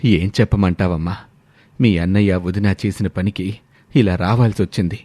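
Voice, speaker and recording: Man voicing an aggrieved, deep-voiced elderly father. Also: steady tone, normal speed, warm tone. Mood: weary. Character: elderly aggrieved father and grandfather, scolding his son.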